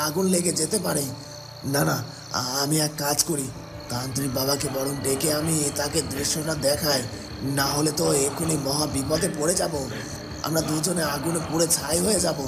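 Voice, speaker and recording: A man's voice: fairly high pitch, creaky. A man voicing an anxious young man in a fairy-tale cartoon, with a dramatic, questioning delivery. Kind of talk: Bengali cartoon voice acting.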